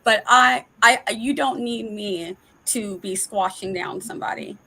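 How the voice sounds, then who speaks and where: Bright-voiced emphatic woman host. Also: medium pitch, a little slow, slight background noise, poor audio. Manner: emphatic, talks in restarts, laughs often. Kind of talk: casual livestream chat.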